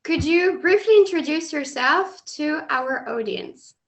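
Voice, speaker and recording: A woman's voice: sits high, animated, measured pace. A woman hosting an interview, putting prepared questions to her guest. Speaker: interviewer, host.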